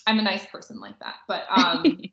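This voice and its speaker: A woman's voice: medium pitch, very animated, moderate pace, vocal fry, fairly bright tone. A woman co-hosting a podcast as a romance author, talking in a casual, chatty style. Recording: roomy, echoey, slightly muffled podcast audio.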